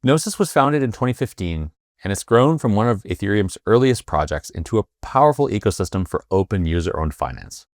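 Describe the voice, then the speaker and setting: A man hosting a podcast interview, asking questions in a halting way with many fillers and restarts. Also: lowish voice, lively intonation, no room sound, studio quality. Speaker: podcast host. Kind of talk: casual interview with read ad intro.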